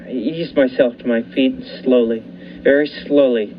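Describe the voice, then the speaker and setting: A man playing a haunted lighthouse keeper who narrates a radio thriller with dramatic, deliberate pauses. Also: mid-range voice, fairly even intonation, natural voice. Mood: grim, tense. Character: haunted lighthouse keeper recounting his ordeal. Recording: muffled lo-fi recording with faint noise.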